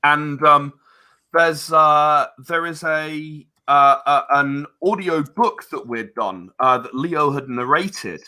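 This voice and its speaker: A man speaking as a guest in a casual podcast interview, halting and rambling as he explains. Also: a little high, sharp tone, clear, resonant tone. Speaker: interview guest, audio drama producer.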